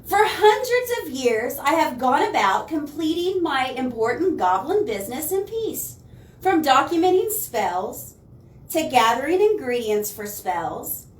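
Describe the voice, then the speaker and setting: Woman reading as a fussy, swooping storybook goblin. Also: sits high. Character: fussy, hardworking goblin who values peace and order. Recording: roomy, distant mic, faint noise.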